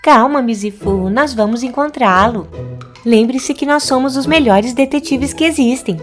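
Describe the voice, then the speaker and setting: A woman's voice: high-pitched, moderate pace, soft background music, close to an everyday voice. A woman with a Brazilian accent voicing a confident, inquisitive young witch detective in a children's cartoon. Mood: assured.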